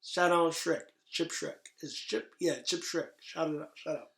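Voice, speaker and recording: Bright, blunt man on a podcast. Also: a little high, moderate pace, reverberant. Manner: blunt, slangy, emphatic, swearing. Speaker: podcast host. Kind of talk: casual podcast chat about video game movies.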